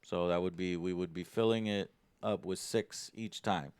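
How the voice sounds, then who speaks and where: Guy with lowish voice walking thru board game rules, casual, half reading, very dry audio. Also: fairly even intonation, normal speed, a little mellow, clean tone, dry recording. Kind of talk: casual rules explanation, partly read aloud. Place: indoor game table on a livestream.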